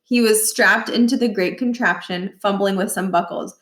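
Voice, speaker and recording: A woman reading a children's novel aloud as expressive, scripted narration. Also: mid-range voice, moderate pace. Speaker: storybook reader. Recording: roomy echo.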